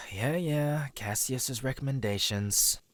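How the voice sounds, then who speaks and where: An actor playing a temperamental young male crusader in a fantasy audio drama, gruff and impatient. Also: mid-range voice, slow, no room sound, lightly acted. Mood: grumbling. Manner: gruff, grumbling, sighing impatience.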